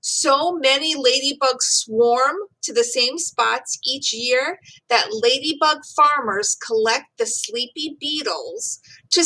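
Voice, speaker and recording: A woman with a high, bright voice reads aloud as a teacher would for young children. She takes her time, slow and deliberate, giving each phrase expressive, clearly marked emphasis. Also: rough recording. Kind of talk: expressive children's read-aloud of rhyming poem and facts.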